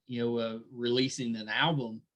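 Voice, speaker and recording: A man's voice: mid-range voice, level tone, moderate pace, fairly bright tone. A man hosting a podcast interview, chatting casually in an encouraging, easygoing way.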